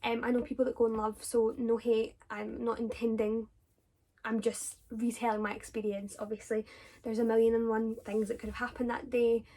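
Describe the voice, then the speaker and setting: A woman's voice: fairly high pitch, flat delivery, normal speed, warm tone. A young Scottish woman with a Scottish accent, chatting casually as she answers viewers' questions, with lots of fillers and self-corrections. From Scotland. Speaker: drama student vlogger.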